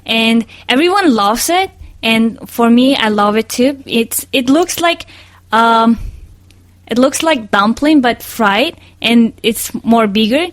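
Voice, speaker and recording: Woman, halting English. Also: on the high side, expressive, no echo. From Mongolia. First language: Mongolian. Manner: halting, searching for English words. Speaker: interviewee. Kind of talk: casual interview answers.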